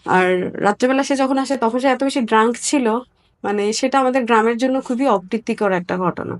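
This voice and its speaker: A woman from Bangladesh giving a personal account as an interview guest, candid and somewhat halting. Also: fairly high pitch.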